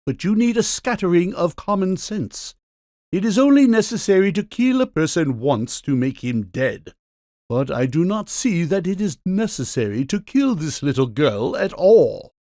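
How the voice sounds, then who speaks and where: A man's voice: sits high, lively intonation, a little slow, a little mellow, dry recording, studio quality. An actor playing a pompous king who is a living kitchen cleaver, speaking in declamatory rebukes in a full-cast audiobook. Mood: rebuking.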